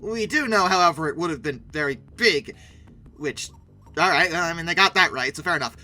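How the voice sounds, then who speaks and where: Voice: medium pitch, fairly quick, sharp tone. A video host giving casual, sarcastic commentary with emphatic delivery.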